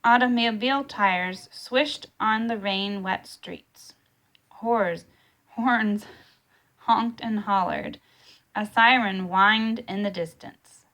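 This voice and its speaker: Slow-paced woman reading a children's story. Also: mid-range voice, fairly bright tone. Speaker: storybook reader. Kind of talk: scripted children's story read-aloud.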